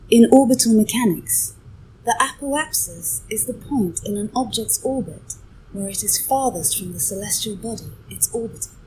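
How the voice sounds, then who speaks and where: A woman's voice: a little high, moderate pace, dark tone, slight background noise, poor audio. A woman's voice as an AI mission companion, giving formal, precise explanations. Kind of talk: explanatory narration, assistant answering a question.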